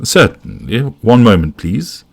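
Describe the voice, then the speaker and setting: A man's voice: lowish voice, huge pitch swings, measured pace, gravelly, slightly rough, heavily acted. A man voicing a courteous, professional young woman at a hotel front desk in an audiobook. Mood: polite, welcoming. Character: polite young female hotel receptionist.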